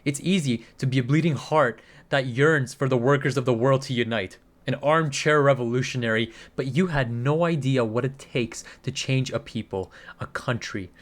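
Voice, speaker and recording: A man's voice: mid-range voice, normal speed, hardly any pauses, lightly acted. A man plays a menacing government interrogator in a radio play. His voice sits in the middle range. He speaks with open contempt, pressing accusations on his informant. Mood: contemptuous, menacing.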